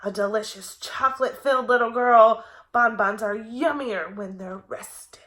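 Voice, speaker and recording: A woman voicing a loud, hungry, scheming male Papa Dinosaur from a children's storybook. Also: normal speed, natural voice. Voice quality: loud, booming.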